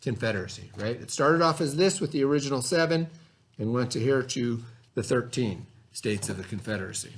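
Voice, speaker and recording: A man's voice: mid-range voice, a little fast, slight background noise. A man reading a lesson aloud as a teacher, explaining as he goes and checking understanding with simple questions.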